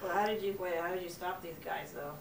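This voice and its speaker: A woman's voice: fairly low pitch, steady tone, quick pace, creaky, echoey room, distant mic. A woman interviewing an older man, chatty and curious with follow-up questions, heard from away from the mic. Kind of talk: casual interview chat. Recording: off-mic with noisy background.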